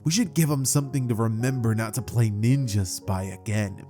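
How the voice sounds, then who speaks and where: A man's voice: mid-range voice, moderate pace, warm tone, soft background music, close to an everyday voice. A man voicing a mean village boy who taunts in a sneering way. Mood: taunting.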